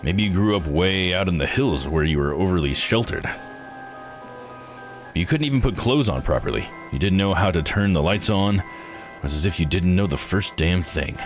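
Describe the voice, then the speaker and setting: A man voicing a blunt, plain-spoken man from a visual novel game, gruff and probing. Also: deep voice, expressive, normal speed, faint music behind. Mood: matter-of-fact.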